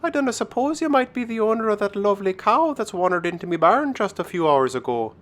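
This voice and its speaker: Man putting on a high-pitched gentle Irish countrywoman. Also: big pitch swings, quick pace. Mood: kind, welcoming. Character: beautiful young Irish countrywoman, gentle, hospitable, warm. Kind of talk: storybook character voice.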